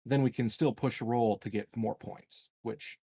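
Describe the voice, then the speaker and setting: A man's voice: mid-range voice, steady tone, moderate pace, creaky, mellow, slightly rough. A man playing a tabletop game, chatting casually about rules and plans.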